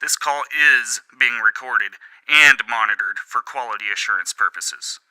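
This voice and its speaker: A man's voice: medium pitch, unhurried, pushed and bright, smooth, no room sound, voice pushed up, low-quality recording. A man voicing a smug, officious debt collector for the devil in formal phone patter, in a dramatic audiobook reading. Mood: forceful, relishing. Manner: formal scripted phone patter, savoring each syllable.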